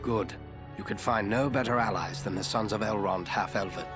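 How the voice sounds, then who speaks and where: An actor playing a noble, resolute ranger chieftain in a fantasy game, speaking in a grave, measured way. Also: male voice, fairly low pitch, natural voice. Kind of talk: dramatic fantasy game cutscene acting. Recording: loud music over the dialogue.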